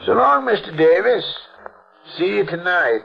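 An actor playing a sly, folksy small-town hotel clerk turned blackmailer in a 1940s radio mystery, with a rural American accent and feigned innocence. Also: male voice, sits high, expressive, a little slow, creaky, faint music behind, heavily acted. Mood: sly, insinuating. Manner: drawling folksy speech, sly feigned innocence, chuckling insinuation. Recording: dull, noisy old radio recording.

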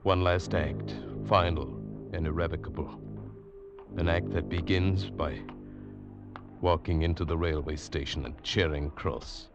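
An actor playing a haunted, desperate man in a 1940s radio drama, halting, intense and brooding. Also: low-pitched, steady tone. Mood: brooding, resolved. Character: haunted, desperate man clinging to his sanity. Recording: muffled vintage radio, music behind.

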